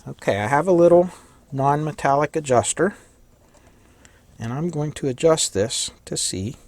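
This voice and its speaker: A man with a slightly hoarse voice giving a casual hands-on electronics tutorial, thinking aloud and correcting himself as he works. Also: on the high side, animated, moderate pace, a little mellow, dry recording. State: slightly hoarse, losing his voice. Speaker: electronics hobbyist demonstrating a kit.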